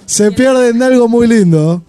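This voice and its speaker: A man's voice: very high-pitched, moderate pace, a crowd in the background. A man with an Argentine accent hosting a radio show, joking and teasing with crude banter. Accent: Argentine Spanish. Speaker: radio host. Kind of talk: casual radio chat.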